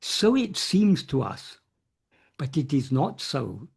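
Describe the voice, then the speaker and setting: A man's voice: medium pitch, very animated, slow pace, vocal fry, slightly rough. A man giving an unscripted philosophical talk as a spiritual teacher in an online meeting, earnestly restarting and repeating phrases and leaning on key words. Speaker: spiritual teacher answering questions.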